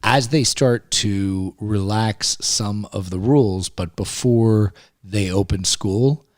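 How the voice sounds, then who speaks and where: A man's voice: on the low side, slow pace, no echo, clean studio sound. Emphatic talkative male podcast host. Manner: emphatic, talkative, with many restarts. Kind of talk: casual podcast chat.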